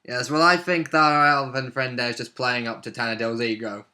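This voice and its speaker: A man with a very bright, forceful tone talks casually as a player in a tabletop game. He chips in with short agreements and commentary on the story. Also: mid-range voice, fairly even intonation, fairly quick. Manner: brief agreeing interjections and commentary. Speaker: tabletop role-play player. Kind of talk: casual chat, reacting to a game recap.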